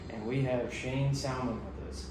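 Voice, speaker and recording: A man's voice: medium pitch, steady tone. A man hosting a podcast, opening the show and introducing his guest with many fillers. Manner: halting, many fillers and restarts. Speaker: podcast host. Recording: echoey room, distant mic, noisy.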